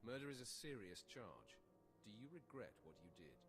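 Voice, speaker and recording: An actor playing a cold, proud young Sith warrior in a video game, haughty, clipped and controlled. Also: male voice, on the low side, big pitch swings, moderate pace. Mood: coolly menacing. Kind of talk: video game character acting. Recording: music behind the voice.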